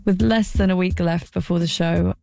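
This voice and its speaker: A woman's voice: fairly low pitch, fairly even intonation, normal speed, vocal fry, music in the background. A woman narrating a personal documentary in a wry, self-deprecating way.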